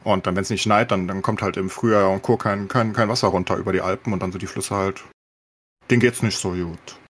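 A man's voice: low voice, fairly even intonation, fairly quick, a little hiss or hum. A man chatting casually on a podcast in colloquial language, with sardonic understatement. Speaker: podcast host.

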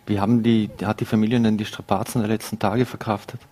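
Flat-voiced hesitant male interviewer. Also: fairly low pitch, quick pace. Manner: hesitant, many fillers. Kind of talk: news interview questions.

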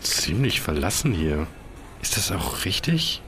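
A man's voice: sits low, big pitch swings, unhurried, over music. A man playing a grateful, easygoing fiancé in an audio drama. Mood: uneasy.

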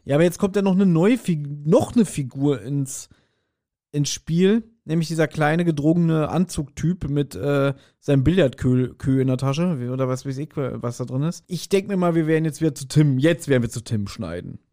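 A man's voice: on the high side, normal speed, warm tone. A man co-hosting a podcast in casual chat, speculating and thinking aloud somewhat hesitantly. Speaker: podcast co-host. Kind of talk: casual chat, speculating about an audio drama.

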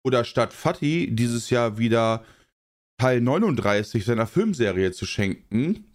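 A man, a podcast co-host with a cold, reading a sponsor spot in a jokey, casual way with big playful swings in pitch. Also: lively intonation, a little slow. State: has a cold.

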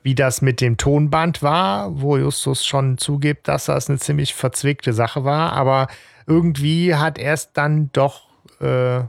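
Male co-host with a fairly high voice and a gravelly edge, reflective and halting, recorded dry. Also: steady tone, no room sound. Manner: hesitant, many fillers and restarts, reflective. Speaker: podcast co-host. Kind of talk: casual podcast discussion.

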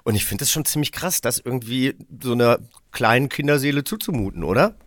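A man's voice: mid-range voice, lively intonation, fast talker, fairly bright tone, no echo, studio quality. A man hosting a conversational interview, explaining and asking questions. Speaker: interview host.

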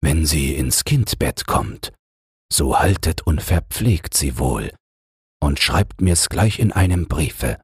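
A calm, authoritative fairy-tale king, read by a male storyteller. He is grave and protective, with a deep, husky voice and slow delivery. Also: no room sound, studio quality, full character voice. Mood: calm authority.

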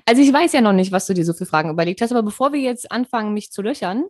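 A woman hosting a podcast, talking in an animated way and often repeating words as she goes. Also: medium pitch, very fast, no room sound, clean studio sound. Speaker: podcast host. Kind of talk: casual podcast conversation.

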